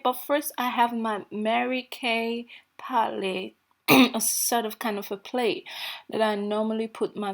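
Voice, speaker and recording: A woman from Africa who lives in Norway, with an African English accent tinged by Norwegian, talking slowly and hesitantly through a casual makeup tutorial with many fillers. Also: medium pitch. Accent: African English with Norwegian influence. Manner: slow, hesitant, self-deprecating, many fillers. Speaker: beauty vlogger. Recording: faint background guitar music.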